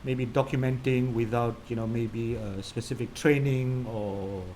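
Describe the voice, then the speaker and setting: Mellow-voiced man hosting an interview. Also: medium pitch, fairly even intonation, measured pace, a little hiss or hum. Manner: chatty, rambling questions with "you know". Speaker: host of a live interview series. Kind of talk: live online interview.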